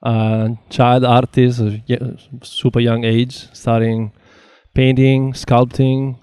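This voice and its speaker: A man hosting an interview, speaking in a relaxed, halting way with many fillers. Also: medium pitch, clean tone, no echo, clean studio sound. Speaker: host. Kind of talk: casual interview.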